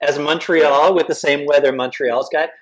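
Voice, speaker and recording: A man's voice: on the high side, steady tone, moderate pace. A man with a Canadian accent from Toronto, talking casually as an interview guest. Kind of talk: casual conversation.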